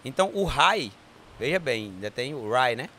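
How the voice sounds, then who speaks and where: A man's voice: mid-range voice, big pitch swings, normal speed, bright, forceful tone. A man from Ceará with a Cearense accent, a brewery founder telling stories as an enthusiastic interview guest. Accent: Cearense Portuguese. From Ceará, Brazil. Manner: enthusiastic storyteller, frequent tag questions. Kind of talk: casual interview chat.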